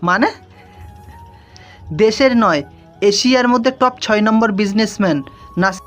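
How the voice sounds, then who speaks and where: A woman's voice: medium pitch, measured pace, faint music behind, lightly acted. A woman voicing a puzzled, impatient gang sidekick in an audio drama, full of questions. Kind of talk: dramatised audio story acting.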